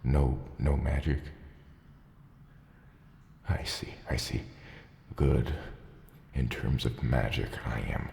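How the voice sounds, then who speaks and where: A man voicing a gruff, dry-witted dragon father in an ASMR roleplay, fond of his hatchling, with a halting, deadpan, teasing delivery. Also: a little hiss or hum, full character voice. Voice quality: very deep, gravelly. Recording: roomy cave-like reverb, clear background ambience.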